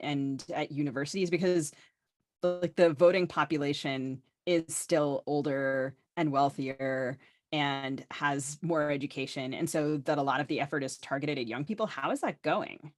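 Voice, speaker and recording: A woman's voice: low-pitched, fairly even intonation, normal speed, no room sound, studio quality. A woman hosting a podcast, chatting casually in a rambling, wry way as she tells stories and leads up to questions. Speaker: podcast host. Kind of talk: casual conversational storytelling and questioning.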